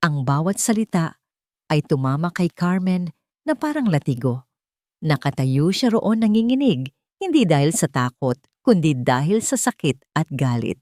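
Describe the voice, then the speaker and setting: A woman narrates a scripted drama. Her voice sits low. The sound is dry, with hardly any room around it. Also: very animated, moderate pace, darkish tone, no room sound.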